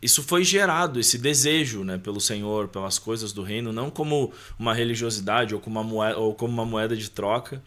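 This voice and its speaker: A man's voice: on the low side, brisk, few pauses, fairly bright tone. A man with a Brazilian accent speaking as a podcast guest, sharing personal faith and life in an informal, conversational way, often checking for agreement.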